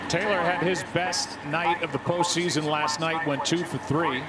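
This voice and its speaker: A man's voice: a little high, steady tone, normal speed, a loud crowd, low-quality recording. A man with an American accent calling live baseball play-by-play as a sports announcer.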